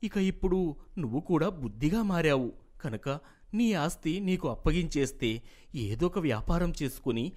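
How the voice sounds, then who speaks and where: A man playing a responsible, affectionate elder brother who reasons and advises in an animated moral story. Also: on the high side, moderate pace. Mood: fond, advising.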